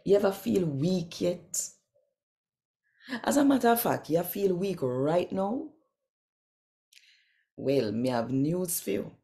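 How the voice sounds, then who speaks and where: Low, mellow Jamaican woman reading devotionally. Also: animated, takes it slowly, pauses often. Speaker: host and scripture reader. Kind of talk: devotional scripture reading in conversational Patois.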